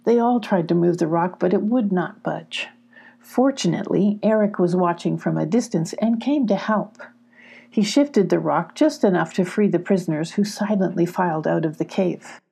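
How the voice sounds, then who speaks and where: An older woman narrating a children's storybook as host and reader, in an unhurried, expressive way. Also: fairly low pitch, gravelly, breathy edge.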